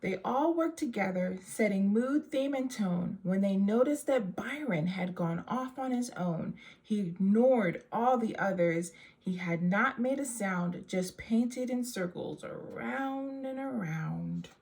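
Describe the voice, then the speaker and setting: A woman reading a rhyming children's story aloud in a measured, verse-following delivery. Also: medium pitch, lively intonation, darkish tone. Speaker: storybook reader.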